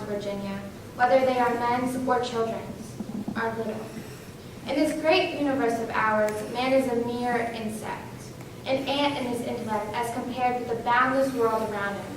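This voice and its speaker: High-voiced woman reciting in echoey hall. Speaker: readers theater performer. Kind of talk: scripted stage recitation. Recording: echoey hall, distant mic, noisy.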